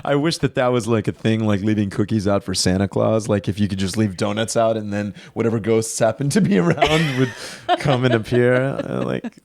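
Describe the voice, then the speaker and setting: A man's voice: on the low side, a little fast, gravelly, few pauses, a little mellow. A man co-hosting a podcast, chatting casually and reacting in an informal, jokey way. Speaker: podcast co-host.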